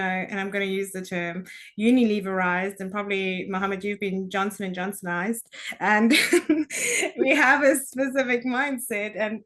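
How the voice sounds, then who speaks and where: Woman, slightly high voice, casual panel chat, effusive, giggly. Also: fairly bright tone. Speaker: MBA student panelist. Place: online webinar call.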